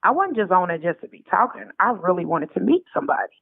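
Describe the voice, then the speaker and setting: A woman's voice: fairly low pitch, quick pace, vocal fry, husky, dry recording. American woman on a tinny phone line. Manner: animated retelling with quoted dialogue, colloquial. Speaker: interview guest, author. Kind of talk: casual conversational storytelling.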